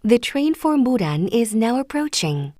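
A woman's voice: on the high side, big pitch swings, drawn-out words, warm tone, dry recording, clean studio sound. A woman reading short scripted train station announcements, formal and carefully enunciated. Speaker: English-language station announcer. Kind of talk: scripted public transit announcement.

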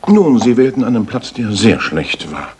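An actor playing a brisk, self-assured master detective in a German radio drama, with precise, probing questions and a husky voice. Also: male voice, fairly low pitch, sing-song, darkish tone, a little hiss or hum. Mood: critical.

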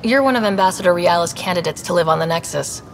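A woman's voice: on the low side, fast talker, a little hiss or hum, lightly acted. A woman playing a confident young human explorer in a video game, with a direct, casual way of talking. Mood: casual, assured.

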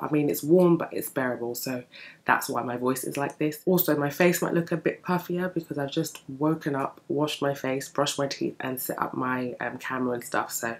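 A young woman with a deep, gravelly morning voice, chatting casually and explaining while she does her makeup in front of a bookshelf. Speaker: beauty vlogger. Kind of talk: casual makeup tutorial chat. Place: indoor room in front of a bookshelf. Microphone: camera at desk distance.

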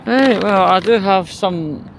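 A man's voice: high voice, slow, creaky, a little hiss or hum, rough recording. A man giving a casual, rambling walking vlog monologue, sweary and weary, recorded on a phone outdoors. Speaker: vlogger. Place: walking outdoors along a residential street. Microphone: handheld phone. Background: street ambience, passing vehicles.

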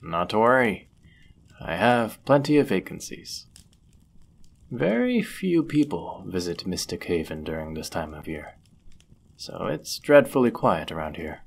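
A man acting a deep-voiced, courteous medieval innkeeper. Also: big pitch swings, gravelly, slightly rough, heavily acted. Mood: gentle, courteous. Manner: gentle, polite, measured, formal wording. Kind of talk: intimate roleplay audio acting, formal old-fashioned speech.

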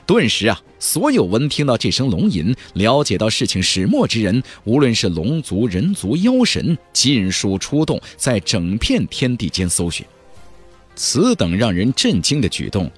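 A man's voice: medium pitch, breathy edge. A man narrating a fantasy audiobook in a dramatic, theatrical and emphatic storytelling style. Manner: theatrical, emphatic, with exclamatory flourishes.

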